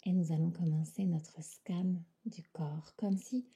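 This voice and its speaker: Soft, breathy woman guiding deep relaxation. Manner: soft, breathy, often near whisper; calm, soothing, unhurried phrasing. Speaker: yoga nidra guide. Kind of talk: guided relaxation meditation.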